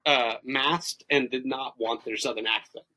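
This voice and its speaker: Bright-voiced host from the rural Deep South. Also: male voice, steady tone, a little slow, rough recording. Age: late 30s. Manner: chatty, digressive. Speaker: podcast co-host. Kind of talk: casual conversation.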